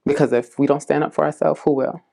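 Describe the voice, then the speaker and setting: A woman from South Florida, a community case manager, giving unhurried, earnest interview answers, often pausing with "um" and "like". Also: low voice, fairly even intonation, gravelly, warm tone. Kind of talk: casual interview answers to prompts.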